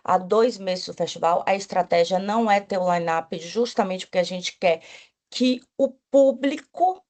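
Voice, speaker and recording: A woman talks through her agency's work at a panel. She is enthusiastic and often restarts her words, adding "né?" as she goes. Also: medium pitch. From Bahia, Brazil. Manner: enthusiastic, with frequent restarts and "né?" tags. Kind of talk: panel talk.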